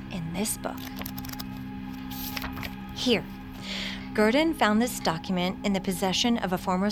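A woman's voice: mid-range voice, close to an everyday voice. An actress playing an eccentric, brusque and superstitious woman who is an occult expert, speaking in a pompous, theatrical manner in an audio drama. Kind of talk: audio fiction drama acting.

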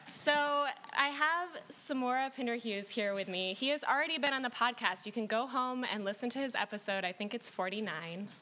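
Woman, podcast host, upbeat introductions, bright clear tone, faint ambience. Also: a little high, moderate pace, hardly any pauses, a little hiss or hum. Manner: brief affirmations, upbeat introductions. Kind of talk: live podcast interview. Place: live event venue with audience.

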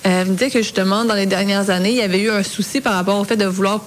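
A woman's voice: mid-range voice, steady tone, racing, a little hiss or hum. A woman interviewing two guests, asking long, thoughtful questions.

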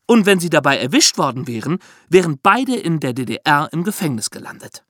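Animated, higher-pitched man telling true crime history. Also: fairly quick, no echo, clean studio sound. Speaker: podcast host explaining true crime history. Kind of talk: conversational storytelling, explanatory.

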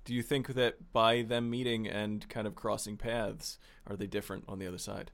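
A man's voice: lowish voice, little pitch movement, moderate pace, a bit bright. A man co-hosting a podcast, asking questions and chatting casually with his guests. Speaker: podcast co-host. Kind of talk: casual interview chat.

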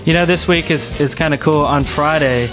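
A man's voice: a little high, normal speed. A man hosting a podcast in a casual, conversational style. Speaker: podcast host. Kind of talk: casual gaming podcast chat. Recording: music clearly playing behind the voice. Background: music.

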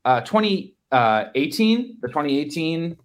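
An American man in his thirties chatting casually as a sports podcast co-host, in a halting, conversational way. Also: fairly high pitch, very animated.

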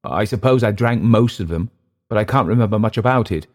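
Man putting on a bitter, raised-pitch drunken Englishman. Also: brisk, lightly acted. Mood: earnest, defiant. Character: bitter, drunken, volatile Englishman gone to seed.

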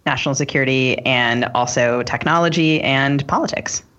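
A woman's voice: a bass voice, normal speed, fairly bright tone. Female podcast co-host, casual and tentative, slightly dull audio. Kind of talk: casual, thoughtful interview chat.

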